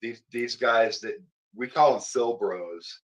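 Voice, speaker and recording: A man's voice: medium pitch, takes it slowly, rough recording. A man in a casual panel discussion, speaking informally and emphatically, often repeating himself. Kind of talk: casual debate chat.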